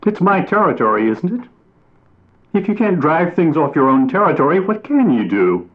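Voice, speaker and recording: A man voicing a touchy, proud male mockingbird who talks in a high, excitable, indignant way. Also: moderate pace. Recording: tinny phone-like audio.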